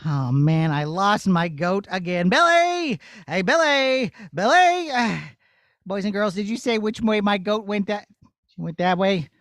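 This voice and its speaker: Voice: medium pitch, expressive, unhurried, fairly bright tone, no echo, clean studio sound. A performer voicing a bumbling, good-natured, exclamatory goat herder in a children's puppet show. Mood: bumbling, puzzled.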